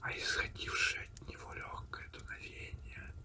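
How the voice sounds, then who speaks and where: A man narrating a story in a breathy, hushed ASMR whisper, deliberate and unhurried, with pauses between phrases. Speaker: audiobook reader and host of an ASMR channel.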